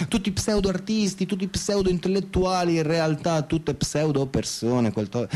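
Actor as sneering cynical man, higher voice. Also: a little mellow, lightly acted. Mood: scornful, ranting. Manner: sarcastic, scornful, foul-mouthed. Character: cynical, bigoted, sneering provincial Italian man narrating his night. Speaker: actor in a radio theatre monologue. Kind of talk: dramatic first-person monologue.

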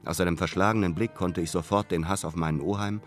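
An actor playing a brooding, lovelorn man who tells his story in a German radio play, measured and earnest. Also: sits low, fairly even intonation, faint music behind, lightly acted. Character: brooding, lovelorn returning man, former painter's apprentice. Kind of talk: literary first-person narration in German radio play.

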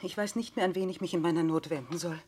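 An actress in a radio play playing a desperate, self-dramatising remarried widow, husky, solemn and insistent. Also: female voice, fairly low pitch, fast, darkish tone, slight background noise, natural voice. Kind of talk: German radio play acting.

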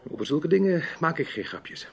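An actor playing a sly, menacing gentleman who speaks with theatrical, teasing courtesy in a Dutch radio drama. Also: fairly high pitch, very animated, moderate pace, vocal fry. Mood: mock-solemn, ominous. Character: sly, menacing foreign gentleman lurking in the dark.

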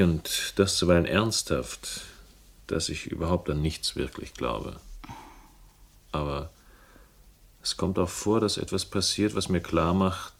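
An actor playing a world-weary, sardonic hero of a radio crime drama, speaking in a dry, laconic way. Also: male voice, low voice, creaky, full character voice. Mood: hesitant, reflective. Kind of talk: German radio crime drama, first-person narration and dialogue. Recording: faint noise, older radio production.

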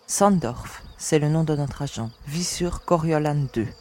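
A woman plays a young alien agent in charge of stranded space travellers. Her voice is soft-edged and mellow, assured and explanatory, in a science-fiction audio drama. Some noise sits behind the recording. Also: deep voice, measured pace. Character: composed young female alien agent leading space castaways.

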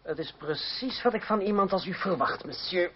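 An actor playing a self-controlled gentleman fencing master in a radio drama, polite, dry and sarcastic. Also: high voice, animated, normal speed. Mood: cold, disdainful. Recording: dull noisy old radio recording.

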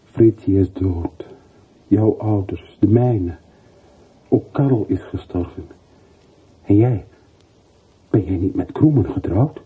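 An actor playing a weary, regretful middle-aged former soldier over a telephone in a radio play, subdued, in halting short phrases. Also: male voice, fairly low pitch, slightly rough, exaggerated voice. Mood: regretful, longing. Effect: telephone voice filter. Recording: muffled phone-like old radio recording.

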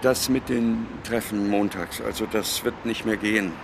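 An actor playing a brisk, dismissive hotel co-worker who speaks to the maid in short, offhand lines. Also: male voice, lowish voice, steady tone, gravelly. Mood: curt. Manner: curt, offhand. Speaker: colleague of the maid. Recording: noisy background ambience.

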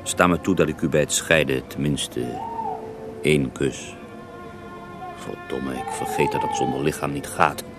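A man playing the ghost of a murdered Russian mystic monk in a radio play, recounting his tale in an unhurried, wry, theatrical way. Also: rumbling low, over music. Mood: wry, courtly. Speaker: interviewed storyteller in a radio play. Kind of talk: radio drama acting, intimate first-person recounting.

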